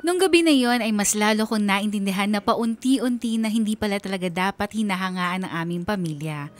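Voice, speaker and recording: A woman's voice: medium pitch, a little fast, faint music behind. A woman with a Filipino accent narrating a scripted radio drama in a storytelling style.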